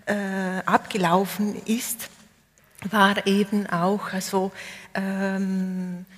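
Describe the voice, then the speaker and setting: A woman from South Tyrol, Ladin her mother tongue, speaks very slowly and thoughtfully, often pausing and restarting as she looks for the right word. Her voice sits at a middle pitch. A long hall reverb trails behind it. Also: level tone. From South Tyrol, Italy. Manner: hesitant, searching for words with many pauses and fillers. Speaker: poet, interview guest. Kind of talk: reflective interview answers.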